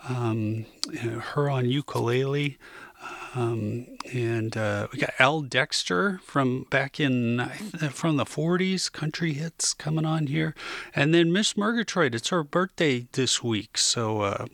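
Radio host, male, North American accent. Creaky mid-range voice, informal halting patter, dry close studio sound. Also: a little high, fairly bright tone, no room sound. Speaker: radio music show host. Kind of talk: casual unscripted radio back-announcing.

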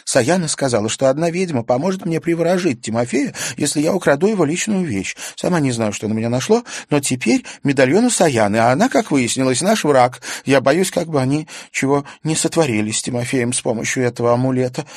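A male audiobook reader voicing an anxious, lovesick young female student, his voice pushed high and earnest. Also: animated, a little fast, few pauses, no echo, clean studio sound.

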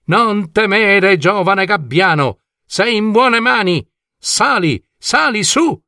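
A man's voice: high voice, animated, slow, gravelly, no echo, studio quality, voice pushed up, heavily acted. A man voicing a kindly, eccentric old vagabond who drives a dream carriage, rambling theatrically in a storybook tale. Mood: reassuring, coaxing. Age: older. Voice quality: warm voice. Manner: theatrical, rambling, exclamatory, full of rhetorical questions.

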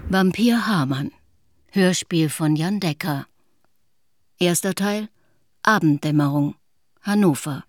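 A woman's voice: fairly low pitch, slow, long pauses, a little hiss or hum. A woman announcing scenes and titles for a radio play in a terse, factual, scripted manner.

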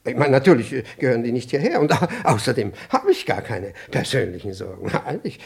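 An actor playing a pompous, flustered elderly privy councillor in a German radio play from the early sixties, stammering, repeating himself and pedantically indignant. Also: male voice, medium pitch, very animated, fairly quick, vocal fry, breathy edge, exaggerated voice. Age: older.

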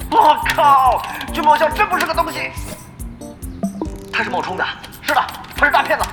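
A woman's voice: high-pitched, lively intonation, normal speed, roomy sound, in a large hall, music in the background. A woman playing an evasive, grudging character from an online gaming guild in an audio drama. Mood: flustered. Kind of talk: Chinese audio drama acting.

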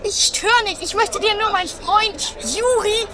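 Woman as very high, melodramatic quarreller. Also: measured pace, bright, forceful tone, heavily acted. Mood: indignant. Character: anarchic, quarrelsome, melodramatic castle sister. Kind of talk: amateur comedy radio play.

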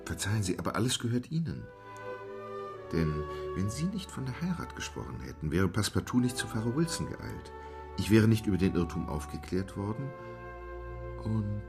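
A formal gentleman traveller: low, precise, radio play. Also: big pitch swings, music in the background. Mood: earnest. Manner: measured, formal, exact with numbers.

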